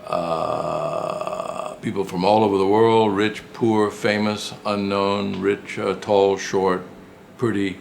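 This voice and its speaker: Older man, low creaky voice. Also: level tone, slow pace, a little hiss or hum. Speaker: retired professor and writer. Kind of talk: casual interview reminiscence.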